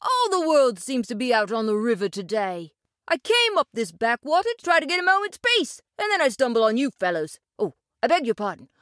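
A woman reading a storybook aloud, voicing a jovial, restless male otter who speaks quickly and breezily. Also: fairly high pitch, very animated, a bit bright, dry recording, clean studio sound, pitched above the performer's own voice. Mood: breezy. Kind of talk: storybook voice acting.

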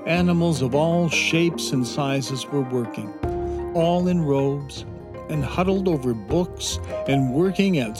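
A man narrates a scripted fantasy story for children. His delivery is slow and measured at a middle pitch, with loud music playing behind him throughout.

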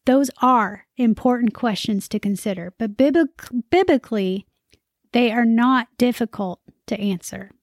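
Upbeat woman podcast host, fairly high voice. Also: a little slow, no room sound, studio quality. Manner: upbeat, conversational, lightly humorous.

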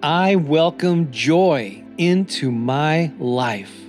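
A man reading scripted motivational affirmations as a podcast host, in a deliberate, encouraging manner. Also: fairly high pitch, expressive. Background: new-age music.

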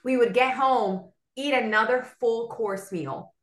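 A woman's voice: fairly high pitch, unhurried, slight background noise. Chatty woman in an echoey room. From South Florida. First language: bilingual Spanish and English. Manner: animated, chatty, frequent "like" and "um". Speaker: podcast guest. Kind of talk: casual conversational storytelling.

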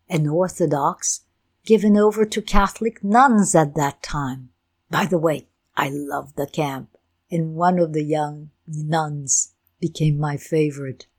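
Slow, low-voiced older woman recounting memories. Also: darkish tone. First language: Ukrainian. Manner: slow, deliberate, reflective telling. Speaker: speaker telling her family history. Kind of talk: personal storytelling talk.

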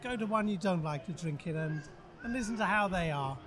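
A man with a high, bright voice and an earnest, direct manner. He gives his advice on a business show floor. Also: expressive, brisk. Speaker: guest giving advice.

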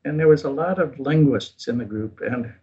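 An older man in a casual interview, recounting his experiences in a relaxed, self-deprecating way. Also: mid-range voice, moderate pace, breathy edge. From United States. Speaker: guest. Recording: dull lo-fi recording.

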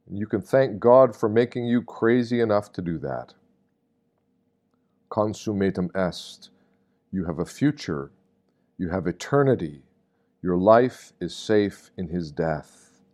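Slow, low-voiced man reading a meditation. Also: a little mellow. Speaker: reader of meditations. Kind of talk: reflective scripted reading of a devotional meditation.

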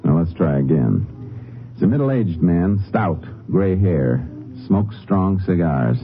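An actor playing a steady, courteous Texas Ranger with an American accent, terse and matter-of-fact, in a 1950s radio drama. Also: male voice, sits low, slow pace, faint music behind, full character voice. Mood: calm, probing. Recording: narrow, muffled old radio recording.

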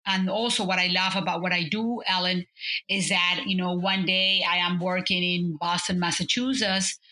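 A bright, clear-toned woman in her fifties with a Mexican Spanish accent, her first language being Spanish. She tells her story warmly and loosely, pausing on "uh" and "um" and restarting her phrases as she goes. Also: on the low side, steady tone. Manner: warm, storytelling, many fillers and restarts. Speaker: guest, business consultant. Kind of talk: conversational interview.

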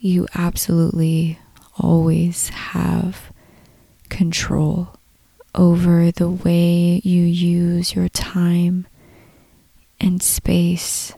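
A woman leading a guided meditation in a soft, breathy, calm voice, unhurried, with long pauses between short phrases. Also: lowish voice, dry recording. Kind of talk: slow guided meditation narration.

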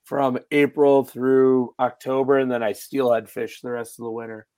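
A raspy man from western New York, a fishing guide in casual chat, matter-of-fact as he explains gear in detail. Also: medium pitch, level tone, moderate pace, darkish tone.

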